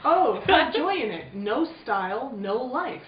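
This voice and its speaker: A woman's voice: a little high, very animated, unhurried. A woman chatting in casual comic banter on a podcast. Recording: roomy, distant, dull sound with noise.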